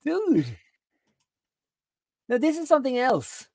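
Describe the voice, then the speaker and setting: A woman's voice: high voice, very animated, takes it slowly, darkish tone, no echo. A woman co-hosting a livestream, chatting in an informal, offhand way. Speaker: livestream co-host. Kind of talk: casual chat.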